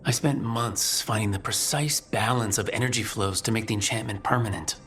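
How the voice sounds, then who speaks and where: An actor playing an earnest young human mage hero in a video game, reflective and determined. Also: male voice, lowish voice, fairly quick, fairly bright tone, slight background noise, natural voice.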